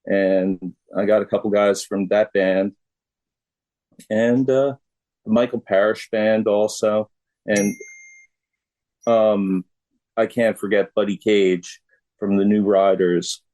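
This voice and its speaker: A middle-aged man from the United States talks as an interview guest. His voice is fairly low, and he speaks very slowly and haltingly, in a casual conversational style. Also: flat delivery, warm tone, low-quality recording.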